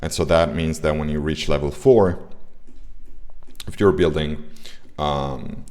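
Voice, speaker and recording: A man's voice: extremely low pitch, slow, darkish tone. A young man with an Italian accent, hosting a casual game development livestream and talking with frequent hesitations. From Italy. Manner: hesitant, many fillers and restarts. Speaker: indie game developer streaming.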